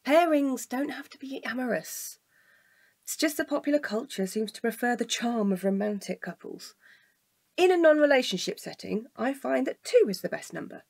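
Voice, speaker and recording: Dry, deadpan woman, slightly husky. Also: a little high, normal speed, full character voice. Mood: matter-of-fact. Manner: deadpan, matter-of-fact. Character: dry, exasperated, level-headed woman, the sensible partner. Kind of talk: comic audio drama dialogue.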